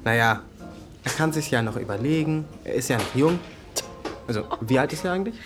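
A woman's voice: very deep voice, expressive, fairly quick, soft background music. A woman playing a sardonic, streetwise teenage friend in a radio drama, speaking in dry, mocking slang. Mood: sarcastic. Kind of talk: naturalistic German radio drama dialogue.

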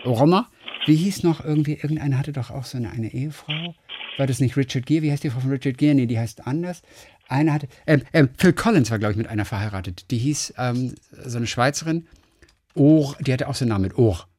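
A man's voice: medium pitch, fairly quick, darkish tone, a little hiss or hum. A middle-aged man co-hosting a radio show, chatting casually and rambling as he searches for words. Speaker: radio host. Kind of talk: casual on-air chat.

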